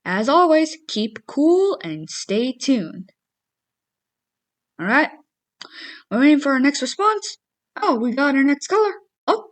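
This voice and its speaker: Woman acting as high, lively female radio DJ. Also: slow pace, long pauses, full character voice. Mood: casual. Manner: casual, chatty, addresses listeners. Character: chatty upbeat female morning radio music show host. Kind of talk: radio DJ patter.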